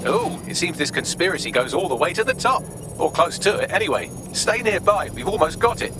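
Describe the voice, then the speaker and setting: An actor playing a sarcastic British artificial intelligence assistant in a video game, flippant and wordy. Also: male voice, high voice, sing-song, a little fast, background noise. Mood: smug.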